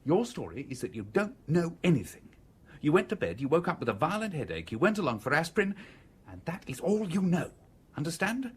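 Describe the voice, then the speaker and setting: A man in a radio drama playing a cool-headed, commanding British gentleman schemer, brisk, wry and bossy. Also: fairly high pitch, very animated, husky, exaggerated voice.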